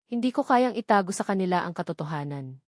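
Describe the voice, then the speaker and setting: A woman's voice: lowish voice, expressive, quick pace, dry recording, studio quality, natural voice. A woman voicing a bitter, unyielding wife in an audio drama, accusing and emphatic. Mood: anguished, resolute.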